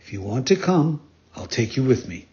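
Man as a steady, matter-of-fact big storybook pigeon. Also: mid-range voice, normal speed, close to an everyday voice. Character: large male pigeon, calm helpful messenger. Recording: dull lo-fi recording.

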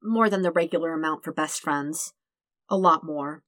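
A woman's voice: low voice, normal speed, a bit bright, dry recording. A woman voicing a casual, amused and tender young man in love in a story, speaking plainly and informally. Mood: tender, sincere.

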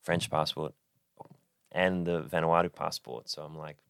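Very deep-voiced young man, laid-back. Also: fairly even intonation, a little slow, gravelly, fairly bright tone, clean tone, no echo, clean studio sound. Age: young adult. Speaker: podcast guest. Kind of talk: casual chat.

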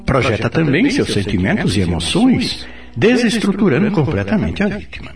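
A Brazilian man playing a patient, authoritative spirit mentor in a radio drama, explaining things in a didactic, expressive way. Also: on the high side, moderate pace. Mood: grave, explanatory. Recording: roomy, distant, music behind.

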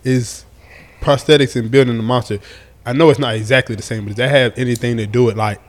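A man hosts a podcast in a relaxed, slangy way. His voice sits at an ordinary mid pitch. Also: quick pace. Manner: loose, laid-back, slangy. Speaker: podcast co-host. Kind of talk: casual chat.

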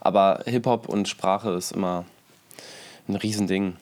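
Slangy, rambling Berlin man, lowish voice. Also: steady tone, a little slow, a little mellow, a little hiss or hum. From Berlin, Germany. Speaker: musician and producer. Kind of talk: casual interview chat.

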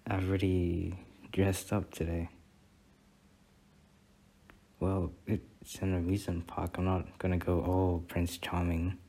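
A man acts out a sweet, shy young student boyfriend in an ASMR roleplay. His voice is low and stays fairly flat, with a slow, hesitant delivery. He comes across as soft-spoken and bashful on a first date. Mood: bashful, admiring.

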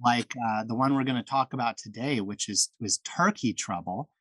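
Male podcast host, mid-pitched, chatty and enthusiastic, dry close sound. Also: moderate pace, fairly bright tone, husky, dry recording. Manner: friendly, enthusiastic, with frequent restarts. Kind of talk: casual podcast chat.

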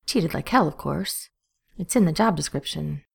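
A woman voicing a sardonic male demon, talking in a dry, quick, wry way. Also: sits low, animated, vocal fry, slightly rough, full character voice. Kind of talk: multivoice podfic reading.

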